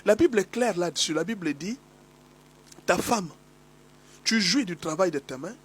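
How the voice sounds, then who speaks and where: A man with a cold and a slightly hoarse voice, preaching a sermon as a pastor, emphatic and repetitive as he exhorts his listeners. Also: high voice, expressive, normal speed. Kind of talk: sermon teaching.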